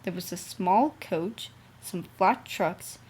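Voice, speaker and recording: A woman's voice: on the low side, slow pace, a little mellow, slightly rough. A young woman reading a children's storybook aloud as its narrator. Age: young adult.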